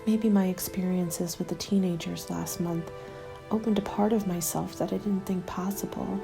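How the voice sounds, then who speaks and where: A woman playing a weary, guarded survivor of a zombie apocalypse, narrating a diary in a hushed, breathy, measured ASMR style. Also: lowish voice, fairly even intonation. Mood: quietly hopeful. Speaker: narrator of an audio roleplay. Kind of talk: ASMR scripted first-person diary narration. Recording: music laid under the voice.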